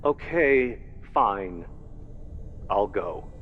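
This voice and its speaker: A man's voice: on the low side, lively intonation, extremely slow, in a large hall, phone-quality sound, a little hiss or hum. A man playing a frightened, reluctant scientist in a video game, protesting in an agitated way. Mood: reluctant, wary.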